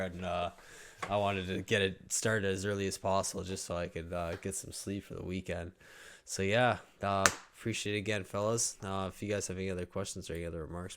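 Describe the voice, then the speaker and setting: A man with a very low, gravelly voice hosts a podcast. His delivery stays flat, laid-back and full of fillers. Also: a little hiss or hum. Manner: laid-back, filler-heavy, wrapping up the show. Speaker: podcast host. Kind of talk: casual podcast chat.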